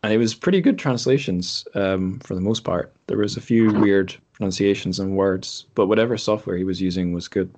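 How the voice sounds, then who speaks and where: A man's voice: low voice, brisk, hardly any pauses, noisy background. A man hosting a podcast in casual conversation, speaking hesitantly and correcting himself as he goes. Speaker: podcast host.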